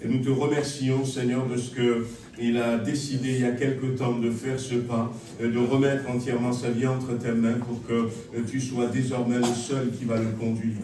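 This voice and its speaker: A male pastor praying over and baptizing a believer in a church, measured with pauses. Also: mid-range voice, a little hiss or hum. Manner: flat, measured, pausing with fillers. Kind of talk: prayer and baptism rite. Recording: echoey church hall from far off, congregation around.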